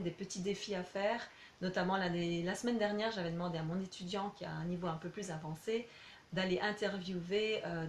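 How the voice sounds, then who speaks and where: A woman from Belgium, a language teacher introducing herself informally in slightly halting, self-correcting phrases. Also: on the low side. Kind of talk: informal self-presentation to prospective students. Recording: roomy echo, clear background noise.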